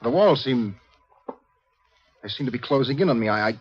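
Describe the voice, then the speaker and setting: An actor playing an anxious, skeptical young man with a husky voice, questioning urgently in a 1950s radio drama. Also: medium pitch, expressive, moderate pace, no echo. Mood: uneasy, frightened. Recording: dull vintage 1950s radio recording.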